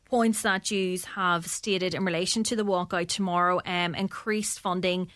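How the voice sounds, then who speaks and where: Irish woman interviewer, lowish voice, chatty prompting questions. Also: fairly even intonation, moderate pace, a bit bright. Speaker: radio interviewer. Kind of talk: radio interview.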